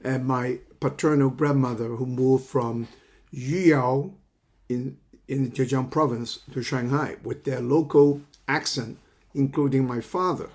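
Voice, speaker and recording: Older man, 70s, halting lecture delivery, repeats words mid-sentence. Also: medium pitch, fairly even intonation, darkish tone. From China. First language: Cantonese. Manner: halting, repeats words while searching for phrasing. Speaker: counseling psychology teacher. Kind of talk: lecture introduction.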